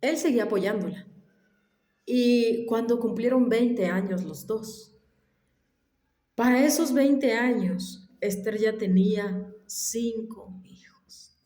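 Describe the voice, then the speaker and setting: Woman, unhurried storytelling, soft whispered asides, echoey room. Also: a little high. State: allergies. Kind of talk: casual spoken storytelling.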